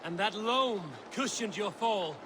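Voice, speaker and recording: Blunt stoic viking clan leader for a game, bit high for a man, dry and terse. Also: unhurried, crowd noise. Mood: grim, resolute. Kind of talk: video game cutscene acting.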